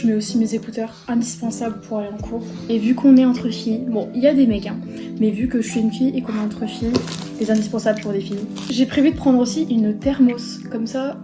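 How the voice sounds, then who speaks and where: A teenage girl vlogging in a casual, chatty way, with lots of little fillers as she talks. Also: on the high side. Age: teens. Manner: chatty, bubbly, lots of fillers like euh and bah. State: stuffy nose, losing her voice. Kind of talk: casual vlog chat.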